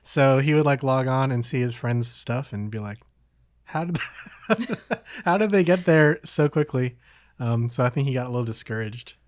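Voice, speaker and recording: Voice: mid-range voice, animated, normal speed, slight background noise. A podcast host chatting casually about video games in an informal, slightly hesitant way.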